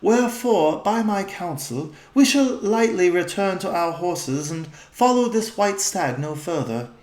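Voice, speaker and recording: A man voicing a cautious gentle queen. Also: sits high, lively intonation, voice pushed up. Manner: formal, measured speech. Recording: roomy echo.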